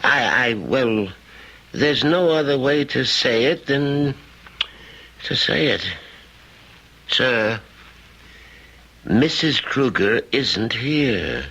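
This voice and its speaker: A timid butler in a radio mystery play. He is husky and slow, hesitant and respectful, with lively shifts of pitch. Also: muffled recording, exaggerated voice. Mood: nervous. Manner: hesitant, faltering. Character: timid, deferential household butler, troubled by his master. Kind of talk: old-time radio drama acting.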